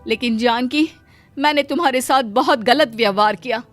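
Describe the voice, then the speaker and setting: Emotional wealthy lady acted by a woman, humbled and earnest, cartoon drama, moderately high pitch. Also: normal speed, soft background music, close to an everyday voice. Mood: remorseful. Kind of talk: cartoon moral story acting.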